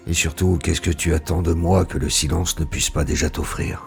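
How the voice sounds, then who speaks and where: A man playing a brooding, barely human narrator, speaking in a slow, pensive and introspective way in a scripted audio drama. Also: deep voice, level tone, creaky, faint music behind. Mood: searching. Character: brooding male being, human only for seven seconds.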